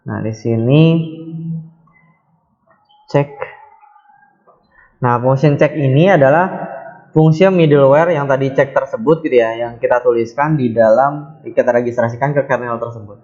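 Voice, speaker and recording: A man's voice: mid-range voice, a little mellow, lo-fi sound. Man teaching code, many fillers. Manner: unhurried, many fillers and self-corrections. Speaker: programming tutor. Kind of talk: screen-recorded coding tutorial.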